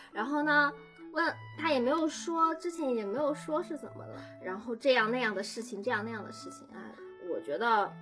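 A woman in casual behind-the-scenes chat, talking in halting, repetitive phrases. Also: fairly high pitch. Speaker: audio drama crew member. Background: music.

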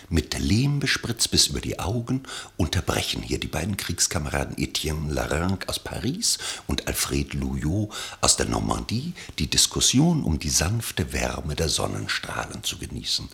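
An actor playing the narrator of a radio play, telling the story in a literary, vividly descriptive, wry manner. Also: male voice, extremely low pitch, creaky, bright, forceful tone, exaggerated voice. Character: male storyteller of a wry, literary war escape tale.

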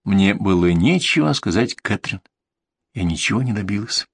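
A man's voice: on the low side, expressive, normal speed, slightly rough. A man reading a scripted first-person story as an audiobook narrator.

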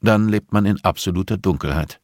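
A man voicing a composed, middle-aged blind teacher in an audiobook, patient and measured, with a dryly pointed way of explaining. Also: deep voice, no room sound, clean studio sound, voice pushed up, close to an everyday voice. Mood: calm, reflective. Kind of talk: audiobook character dialogue.

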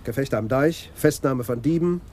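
A man's voice: medium pitch, moderate pace, a little mellow, a little hiss or hum, lightly acted. A man playing a stern, methodical police adjutant in a radio crime drama, firm and matter-of-fact. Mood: exasperated, official. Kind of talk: 1980s German radio play acting.

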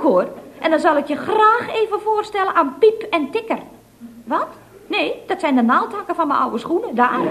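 An actress playing a chatty, feisty single secretary in an old radio comedy, talking in a sing-song way full of tag questions. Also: female voice, high-pitched. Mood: indignant. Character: chatty, indignant single female secretary, feisty and quick-tempered. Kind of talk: 1970s radio comedy acting. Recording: old radio recording with slight noise.

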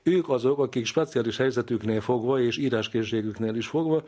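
Mellow-voiced man explaining thoughtfully. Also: mid-range voice. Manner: scholarly, discursive. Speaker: interviewed humour expert. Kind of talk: reflective interview explanation.

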